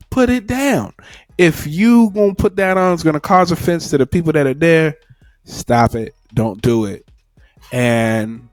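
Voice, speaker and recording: A man's voice: mid-range voice, no room sound. A man co-hosting a reaction talk show, giving earnest advice and trading playful banter. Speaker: podcast co-host. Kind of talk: casual reaction chat.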